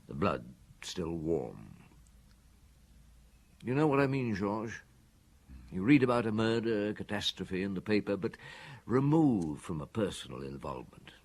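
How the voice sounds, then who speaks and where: A man playing a weary, guilt-ridden, methodical middle-aged police chief in a radio drama, with a husky, measured delivery. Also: low voice, darkish tone, exaggerated voice. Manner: measured, reflective.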